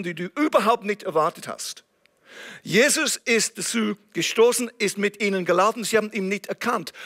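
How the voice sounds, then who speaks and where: A man's voice: sits high, pushed and bright. A man from England preaching a sermon in German with an English accent, earnest and now and then searching for words. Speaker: guest preacher.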